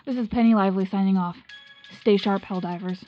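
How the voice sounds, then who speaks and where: A woman playing a wry, deadpan military tech correspondent reading a scripted radio bulletin in clipped phrases. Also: medium pitch, brisk, natural voice. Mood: wry, instructive. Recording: muffled lo-fi radio sound with music behind.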